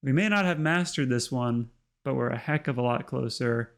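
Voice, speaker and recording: Slightly hoarse male host, gravelly, mid pitch, chatty tutorial read with lots of ums. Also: moderate pace. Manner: chatty, full of ums and uhs. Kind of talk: casual screen-recorded tutorial narration.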